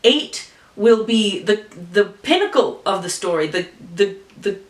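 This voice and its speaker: A woman vlogging to camera in a casual, playful, rambling way, full of animated asides. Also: medium pitch, measured pace, far from the mic. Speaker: vlogger telling an improvised story. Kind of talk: casual chatty to-camera talk. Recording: echoey room, voice off-mic.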